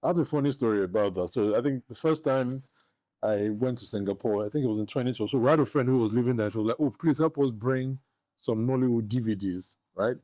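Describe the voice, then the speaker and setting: A man's voice: medium pitch, fast talker, mellow, no room sound. A man, chatting casually as a podcast host. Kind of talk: casual anecdotal chat.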